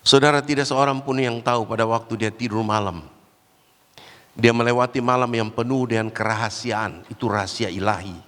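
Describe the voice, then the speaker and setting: A man preaching a Pentecostal sermon while fighting a cold and cough, swinging between loud, raised exhortation and low, quiet asides. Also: steady tone, a little fast. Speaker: guest preacher. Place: large church hall. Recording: church hall with long reverb.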